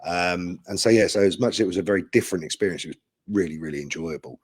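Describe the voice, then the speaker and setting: Guy actor chatting in an interview, really low voice, explains things thoughtfully, dry room. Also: quick pace, a little mellow, slightly rough, no echo. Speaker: actor with a theatre background. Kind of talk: casual interview answers.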